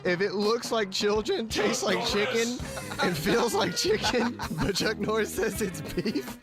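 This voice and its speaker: Male comedy podcast host with a notably high voice, loose and sweary, music clearly underneath. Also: unhurried. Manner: slangy, profane, says "dude" constantly. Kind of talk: reading jokes in casual banter. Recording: voice over loud music bed.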